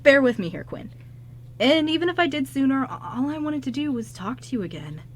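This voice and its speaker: A woman's voice: sits high, big pitch swings, brisk. A woman playing a flustered, kind-hearted male ghost who stammers and rambles in an amateur radio drama. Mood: earnest.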